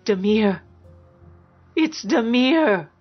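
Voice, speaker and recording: A woman's voice: medium pitch, steady tone, normal speed, lo-fi sound, soft background music, close to an everyday voice. A woman voicing a meek, frightened woman who sounds timid, apologetic and pleading in a dramatised story. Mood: panicked. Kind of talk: dramatised fiction narration.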